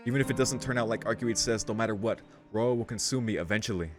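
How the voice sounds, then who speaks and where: A man's voice: on the low side, quick pace. A man reads visual novel text aloud as a streamer. His delivery is casual and slangy, with offhand reactions, and game music plays behind his voice.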